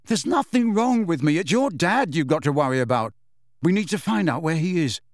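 A man's voice: high-pitched, very animated, measured pace, creaky, slightly rough, no echo, studio quality, pitched above the performer's own voice, exaggerated voice. A man reading an audiobook, voicing a scared teenage boy who is desperate and furious, urgent and insistent. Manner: urgent, insistent, rising to shouts.

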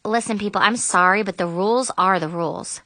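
Woman doing a bossy teen organizer, bright tone, firm, curt, audiobook dialogue. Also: medium pitch, a little fast, no echo. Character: bossy, officious teenage girl running a talent show.

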